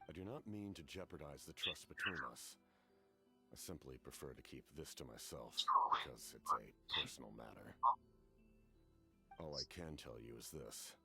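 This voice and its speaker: An actor playing a mysterious, centuries-old masked commander in a video game, grave and weary, speaking in a formal, measured way. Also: male voice, very deep voice, vocal fry, sharp tone, faint music behind, full character voice. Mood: guarded, composed. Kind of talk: scripted video game dialogue.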